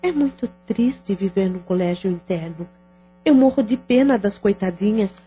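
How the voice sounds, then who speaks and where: An actress playing a caring, sensible sister in an audio drama, speaking in a calm and reassuring way. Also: female voice, medium pitch, normal speed, lightly acted. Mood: gentle. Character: caring, sensible sister who supports her brother. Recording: dull lo-fi audio with faint music.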